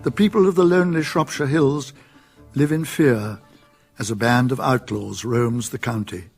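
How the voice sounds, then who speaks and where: A British man narrating a medieval radio drama as a grave storyteller, in a husky voice with stately, measured delivery. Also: mid-range voice, faint music behind. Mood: grave, ominous.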